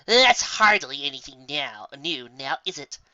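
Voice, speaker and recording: Reader playing female unicorn magician, haughty, grandiose, condescending, storybook figure, sharp forceful tone, very dry sound. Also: mid-range voice, sing-song, measured pace, no room sound, lo-fi sound. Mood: dismissive. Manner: grandiose, dismissive, faintly condescending.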